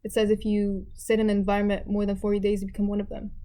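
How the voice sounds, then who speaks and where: Young woman guest from Abu Dhabi, mellow tone, animated storyteller who does voices for others. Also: mid-range voice, fast. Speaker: guest, tennis player. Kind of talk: casual podcast chat.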